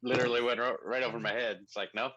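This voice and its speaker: A man's voice: mid-range voice, fairly even intonation, racing, muffled recording. A man in his thirties joking and swearing on a panel call, with noise behind him. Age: 30s. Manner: joking, profane. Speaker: guest actor.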